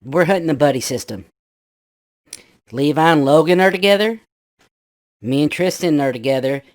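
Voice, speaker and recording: A man talking through a pitched-up voice changer that makes him sound like a small child, complaining irritably and swearing as he starts telling his story. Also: moderate pace, no room sound, studio quality. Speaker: guest storyteller. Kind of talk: casual chat.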